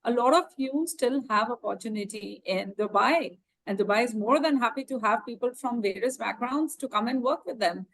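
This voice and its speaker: A woman's voice: on the high side, moderate pace, hardly any pauses, fairly bright tone, rough recording. A woman hosting an online webinar, upbeat and encouraging as she moderates and puts questions to her panelists. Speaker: webinar host. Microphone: video call.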